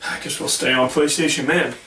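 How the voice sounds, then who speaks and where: A man co-hosting a gaming podcast, hoarse from losing his voice, blunt and dismissive as he reads out news. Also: on the high side, steady tone, brisk, sharp tone, reverberant, recorded from a distance, slight background noise. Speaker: podcast co-host. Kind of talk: casual gaming podcast chat.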